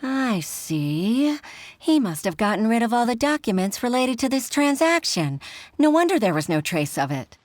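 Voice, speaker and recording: A woman's voice: on the high side, big pitch swings, moderate pace. A woman playing a deferential, earnest young assistant clerk in a video game, speaking politely. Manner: polite, apologetic.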